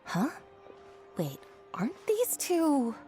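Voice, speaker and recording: A woman voicing a mild, polite, unhurried female shopkeeper in a video game. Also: high-pitched, wildly swooping pitch, warm tone, faint music behind, full character voice. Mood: welcoming. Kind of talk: English video game voice acting.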